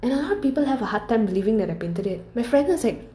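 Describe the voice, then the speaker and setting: A woman's voice: fairly high pitch, lively intonation, rapid-fire, dark tone. A young woman telling stories casually, swearing freely, laughing often and wandering off into asides. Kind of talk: casual storytelling monologue. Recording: roomy echo.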